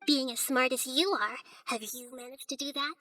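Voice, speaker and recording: Casting: shrewd little goddess of wisdom, high bright voice, calm, articulate, firm game delivery. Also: female voice, normal speed. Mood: cool, probing. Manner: poised, articulate, quietly firm. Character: small, childlike female god of wisdom, calm and shrewd. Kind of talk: video game cutscene acting. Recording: studio voice over game music.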